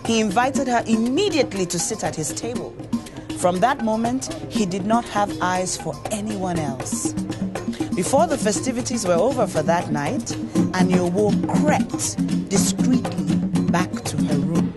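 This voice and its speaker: A woman with a Nigerian accent narrating a scripted folktale in an expressive, dramatic storyteller's manner. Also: medium pitch, unhurried. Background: music.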